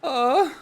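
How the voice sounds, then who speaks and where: A young man streams a game and chats loosely over it, his voice hoarse and raspy from hours of talking. He rambles through stories with a steady stream of "like," and a faint noise sits behind him. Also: on the high side. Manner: chatty, rambling, says "like" a lot. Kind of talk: casual let's-play commentary.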